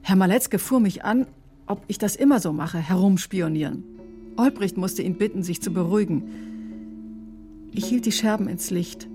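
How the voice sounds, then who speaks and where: A woman's voice: medium pitch, moderate pace, over music, close to an everyday voice. An actress playing a defensive, conscience-stricken woman doctor in a radio drama, recounting events in a precise, clinical way. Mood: matter-of-fact. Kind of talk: German radio drama acting, testimony-like narration.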